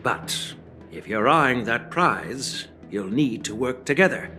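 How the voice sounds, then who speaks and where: A genial old elder from a game cutscene. His delivery is measured and courtly with lively, swinging intonation, full of wry grandfatherly teasing. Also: medium pitch, faint music behind. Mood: admonishing. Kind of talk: dubbed video game cutscene dialogue.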